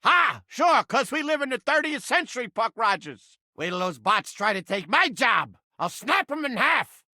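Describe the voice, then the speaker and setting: A man's voice: very high voice, big pitch swings, moderate pace, bright, forceful tone, no room sound, studio quality, full character voice. An actor playing a cranky, manic living mousetrap in a video game, with blustering, streetwise wise-guy talk. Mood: defensive, agitated. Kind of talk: animated video game character acting.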